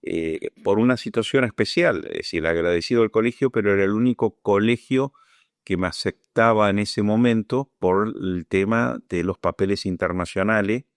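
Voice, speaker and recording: A man in his sixties with an Argentine accent, giving a measured, reflective testimony in an interview and sometimes pausing to find his words. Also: on the low side, clear, resonant tone, no room sound, studio quality. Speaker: Falklands War veteran interviewee.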